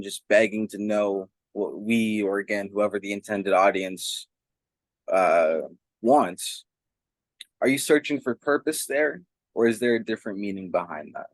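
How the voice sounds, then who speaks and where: Casting: male interviewer, lowish voice, measured and reflective, very dry recording. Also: no room sound. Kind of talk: thoughtful music interview.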